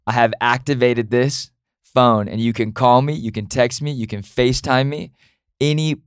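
A man's voice: mid-range voice, fairly even intonation, normal speed, clean tone, dry recording. Upbeat young man, dry close sound. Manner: upbeat, joking, promotional. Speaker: podcast co-host. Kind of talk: casual podcast conversation.

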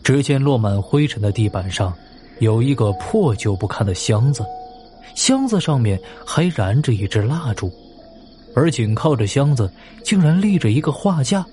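A man's voice: mid-range voice, mellow. A man narrating a mystery story in a dramatic, scripted audio drama style.

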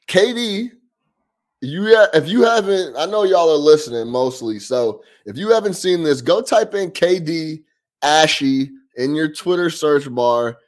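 Laid-back young man talking sports. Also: a little high. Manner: loose, laid-back slang, laughs often. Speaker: podcast co-host. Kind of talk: casual sports podcast banter.

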